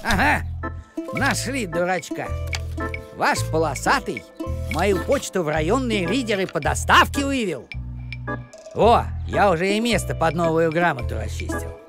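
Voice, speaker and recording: Older cartoon postman, folksy, boastful, animated pitch, music behind. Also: measured pace, full character voice. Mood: smug. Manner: folksy, self-important. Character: fussy, boastful older male village postman. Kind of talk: animated series voice acting.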